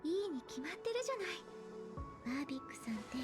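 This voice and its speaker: High, gracious young woman. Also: very animated, very fast, music in the background. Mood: warmly courteous. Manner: soft, courteous, feminine phrasing. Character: gentle, polite young woman, gracious and wistful. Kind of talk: Japanese anime-game voice acting.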